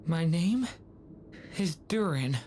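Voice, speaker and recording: A woman voicing a confused, newly alive young boy in a video game, halting, uncertain and pleading. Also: fairly low pitch, lively intonation, warm tone, slight background noise. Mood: dazed, fragile.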